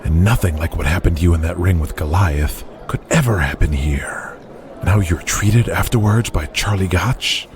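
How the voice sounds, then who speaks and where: A man playing a polite, persuasive wrestling promoter who speaks deliberately, like a salesman, in an audio drama. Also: low voice, noisy background, natural voice. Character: smooth, persuasive male wrestling promoter, courting a star. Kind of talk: audio drama character acting.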